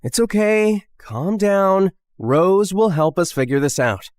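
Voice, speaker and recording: A woman puts on a soft-spoken young man in a spoken story, lowering her voice to sound calm and reassuring. His pitch moves around lively even as he soothes. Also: slow, no room sound, clean studio sound. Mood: soothing. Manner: calming, pleading.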